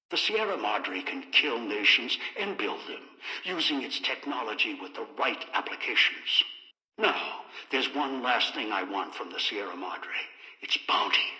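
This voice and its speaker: Bitter old man game villain, really sharp tense voice, clipped menacing lines, big echoey space. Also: mid-range voice. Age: older. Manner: menacing, deliberate, cryptic, clipped phrases. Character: bitter, scheming old man obsessed with pre-war technology. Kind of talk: video game character dialogue. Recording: echoey, long reverb.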